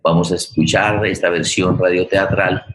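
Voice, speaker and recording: A man with a Colombian accent speaking as a host in an unscripted, reflective introduction, halting and repeating words as he goes. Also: low-pitched, flat delivery, very noisy. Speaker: host and theater director. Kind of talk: unscripted spoken introduction to a forum. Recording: roomy, echoey sound.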